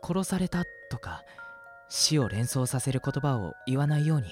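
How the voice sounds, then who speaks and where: Over soft music comes a man acting as a gentle ghost boy of sixteen in a radio drama. He is earnest and careful, with a voice that moves widely in pitch as he reassures his listener. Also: big pitch swings, normal speed, natural voice. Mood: urgent, reassuring.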